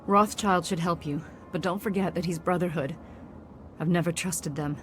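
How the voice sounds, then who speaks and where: A woman's voice: fairly low pitch, normal speed, a little hiss or hum, lightly acted. An actress playing a distraught, weary woman scientist in a video game, urgent and faltering. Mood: shaken, exhausted. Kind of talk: dramatic video game acting. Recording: music behind the voice.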